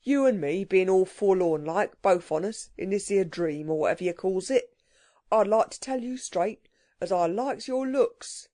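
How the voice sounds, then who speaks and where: A woman voicing a rough working-class male burglar, talking in slangy, grumbling dialect. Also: medium pitch, moderate pace, warm tone, dry recording, studio quality, close to an everyday voice. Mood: courting.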